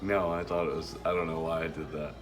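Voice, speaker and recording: A man's voice: extremely low pitch, fairly even intonation, brisk. A man in his thirties chatting casually as he chimes in on a home kitchen vlog. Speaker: companion chiming in on vlog. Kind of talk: casual chat. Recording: clear background noise in kitchen.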